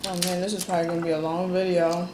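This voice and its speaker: A woman's voice: lowish voice, flat delivery, racing. A woman from Jamaica chatting casually while eating, rambling with lots of fillers and telling stories. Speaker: mukbang host. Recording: roomy with faint noise.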